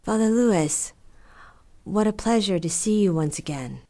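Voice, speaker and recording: A woman playing a frail, strong-willed old nun in an audio drama, speaking earnestly and deliberately. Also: mid-range voice, expressive, warm tone. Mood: wistful.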